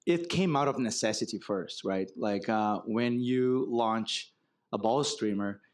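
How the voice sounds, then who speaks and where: A man from Brazil with a Brazilian accent, a marketing executive speaking as guest in an onstage fireside chat, relaxed and wry, explaining with examples. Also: lowish voice, unhurried. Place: conference stage before an audience.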